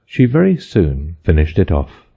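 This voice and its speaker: Man for audiobook narration: low, husky voice, slightly dull recording. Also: wildly swooping pitch, a little slow. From United States. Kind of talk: scripted storybook narration.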